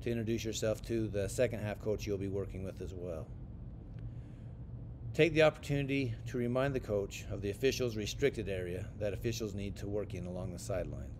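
An American man giving scripted training narration as an instructor for sports officials, in a measured, instructional way. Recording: clear rumbling background noise.

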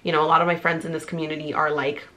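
A woman in her thirties talks to camera, bubbly and full of "like" fillers. She is congested from a cold, so her voice sounds stuffy and nasal. Her pitch sits a little low for a woman. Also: level tone, very fast, pushed and bright. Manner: bubbly, talkative, lots of "like" fillers. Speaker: vlogger. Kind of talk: casual chatty vlog. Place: small indoor room, seated before a pink wall.

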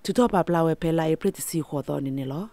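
Lower-voiced, creaky woman newsreader. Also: animated, fast, loud music behind the voice. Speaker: radio news presenter. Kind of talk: scripted news reading.

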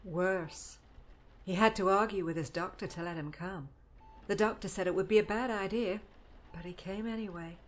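A woman acts out a middle-aged mom who dotes and frets over her grown daughter. Her delivery is emotional and fussing, at a medium pitch, as in a dramatized audiobook. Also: moderate pace, natural voice. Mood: worried, gentle. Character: fretful, doting middle-aged mother of an adult daughter.

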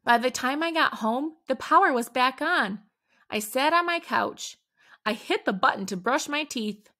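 Woman storyteller for a kids' read-aloud: slow, slightly gravelly, expressive. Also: high voice. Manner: animated, dramatic emphasis on exclamations. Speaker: children's book reader.

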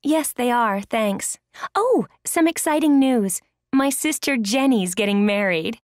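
A woman's voice: on the high side, expressive, a little slow, no echo, clean studio sound. A woman playing a friendly, chatty acquaintance making small talk in a scripted listening-exercise dialogue. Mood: excited, pleased.

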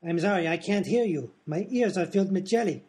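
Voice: mid-range voice, expressive, brisk, darkish tone, close to an everyday voice. An actor voicing an eccentric, pompous, grumbling professor in a comedy radio play. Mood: grumpy, absurd. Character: eccentric, agitated German professor, a man.

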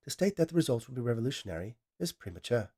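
A man voicing a female AI lab assistant, speaking in a flat, precise, matter-of-fact way. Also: medium pitch, rapid-fire, breathy edge. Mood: dispassionate.

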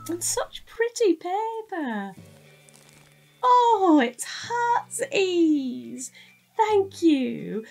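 A British woman acting a warm, teasing, easily delighted detective's wife in a gentle, naturalistic radio drama. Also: very high-pitched, sing-song, drawn-out words, vocal fry, soft background music, exaggerated voice. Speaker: actress in an audio drama.

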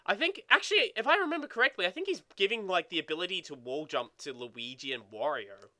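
A man co-hosting a let's play with casual, animated, sweary gaming commentary. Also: sits high, fast.

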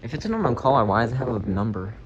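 A woman's voice: a bass voice, very animated, racing, muffled recording, crowd noise. A young woman chatting casually and playfully, recorded on a handheld phone in a living room. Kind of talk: casual chat. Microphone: handheld phone camera.

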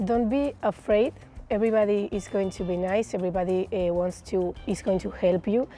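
A woman's voice: medium pitch, brisk, mellow. A young woman from northern Spain, giving earnest, reassuring advice with small hesitations. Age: young adult. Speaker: student. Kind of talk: casual interview answers. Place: residence lounge, indoors.

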